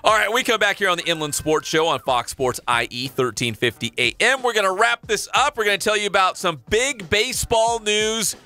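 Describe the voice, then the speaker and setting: Lively sports radio host, man. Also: high-pitched, a little fast, hardly any pauses, soft background music. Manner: lively, promo-style sign-offs. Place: radio studio. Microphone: studio boom mic.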